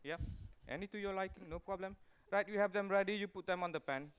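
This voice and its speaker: A male chef walks an audience through a recipe in a live demonstration. His voice is smooth and clear and pitched a little high for a man, and he talks in a chatty way, with plenty of "uh"s and restarts as he explains each step. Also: quick pace. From Malaysia. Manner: chatty, explains steps with many fillers and restarts. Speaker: executive chef.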